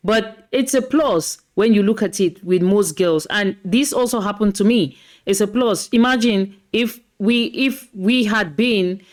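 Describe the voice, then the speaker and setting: A woman's voice: medium pitch, a little mellow. A woman hosting a podcast, reacting to clips in a casual, chatty way and often repeating herself. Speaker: podcast host.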